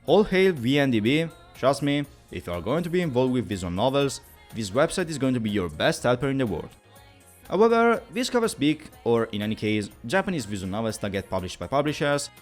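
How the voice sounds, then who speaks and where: A man narrating a scripted explainer video in an emphatic, conversational way, posing rhetorical questions as he goes. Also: mid-range voice. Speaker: YouTube video essayist and presenter.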